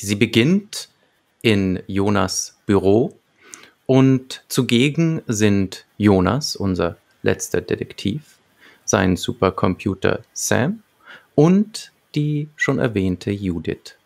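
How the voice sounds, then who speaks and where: A man in his thirties co-hosting a podcast, reviewing a radio drama conversationally in a halting, measured way. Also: fairly low pitch, gravelly. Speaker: podcast co-host. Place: home room with bookshelves. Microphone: large desktop microphone, close.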